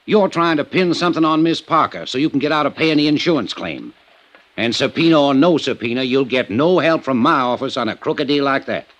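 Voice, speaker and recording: An actor playing a defensive, indignant small-town American county attorney in Golden Age radio drama style, testy and guarded. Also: male voice, mid-range voice, lively intonation, fairly quick, a bit bright, lo-fi sound, lightly acted.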